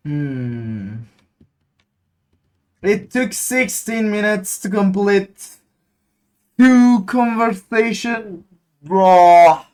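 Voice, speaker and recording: Slow-talking game streamer, halting English speaker. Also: medium pitch, animated, a little mellow, smooth. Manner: halting, searching for words. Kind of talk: casual livestream chat over gameplay.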